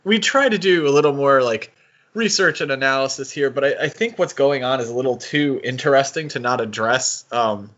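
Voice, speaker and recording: A man's voice: mid-range voice, a little fast, a bit bright. Man hosting a podcast, dull lo-fi sound. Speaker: podcast host. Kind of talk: casual podcast discussion.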